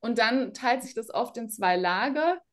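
A woman, a trained opera singer, chatting as an interview guest and telling stories in a lively way that acts out what people say to her. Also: on the high side, measured pace. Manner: lively storyteller, acts out quoted reactions. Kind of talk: casual interview chat.

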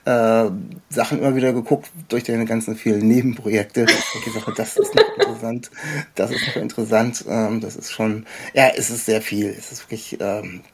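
A man hosting a podcast in a casual interview, talking in a halting, rambling way with a husky voice. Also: lowish voice, little pitch movement, a little hiss or hum. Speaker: podcast host.

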